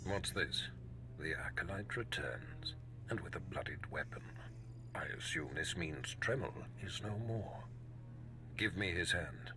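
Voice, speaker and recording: An actor playing a menacing, imperious Sith lord in a video game, speaking formally and deliberately. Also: male voice, deep voice, expressive, noisy background. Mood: smug, probing. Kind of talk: scripted video game voice acting.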